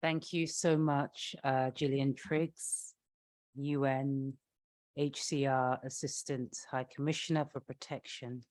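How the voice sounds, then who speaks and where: A woman moderating a formal event, making introductions in a polite, somewhat halting way. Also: rumbling low, flat delivery, a little mellow, dry recording.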